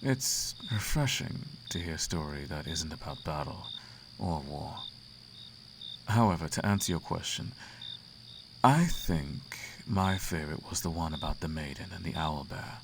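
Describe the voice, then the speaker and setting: Man voicing a very deep, gravelly demon prince. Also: lively intonation, background noise, full character voice. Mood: smooth, musing. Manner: slow, courtly, teasing. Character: seductive, imperious male demon prince of the Abyss. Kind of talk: flirtatious roleplay ASMR acting.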